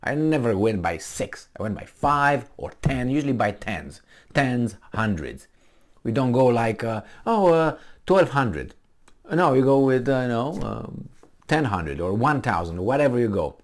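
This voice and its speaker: A man's voice: medium pitch, big pitch swings, a little slow. A man with a Romanian accent, a native Romanian speaker, reading a news article aloud and commenting on it in a casual, rambling way, with lots of fillers and self-corrections.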